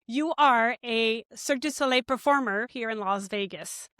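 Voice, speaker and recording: A woman's voice: fairly high pitch, normal speed, a bit bright, dry recording, studio quality. A woman hosting a podcast, reading a scripted intro and asking interview questions in an upbeat, welcoming way. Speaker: podcast host.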